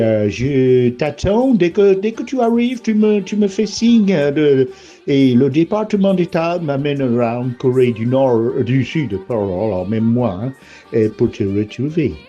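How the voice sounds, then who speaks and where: A man's voice: a little high, animated, takes it slowly, mellow. A man voicing a doting woman who dictates a rambling love letter, stumbling and mixing up places as she goes. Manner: rambling, hesitant, muddles places. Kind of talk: improvised comic letter. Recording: slightly muffled, faint music behind.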